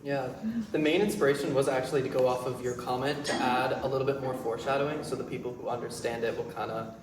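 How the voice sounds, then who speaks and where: A man's voice: medium pitch, steady tone, fast. Agreeable man, distant and echoey. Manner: brief, agreeable replies. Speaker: playwright answering audience feedback. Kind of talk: casual question-and-answer. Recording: echoey room, distant mic, noisy.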